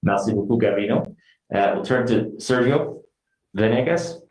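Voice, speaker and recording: A man moderating a press conference, briskly calling on reporters by name. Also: fairly low pitch, expressive, a little mellow. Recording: echoey room.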